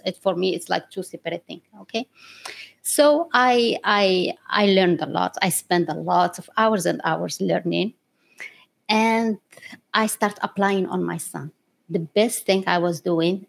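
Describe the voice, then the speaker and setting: Low gravelly woman from Morocco, laughing. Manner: laughs often, non-native English grammar. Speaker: guest. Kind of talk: interview storytelling.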